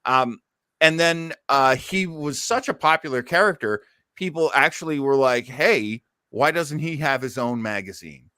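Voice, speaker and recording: A man's voice: mid-range voice, lively intonation, measured pace, sharp tone, clean tone, no echo. Male podcast host, enthusiastic rambling storyteller, casual delivery, dry close recording. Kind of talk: casual chat about old time radio.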